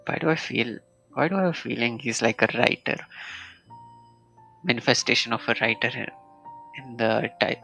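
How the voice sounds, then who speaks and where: A man's voice: medium pitch, moderate pace, creaky, long pauses, sharp tone, low-quality recording. A man streaming a game live, reacting and musing aloud in casual commentary. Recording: game music behind the voice.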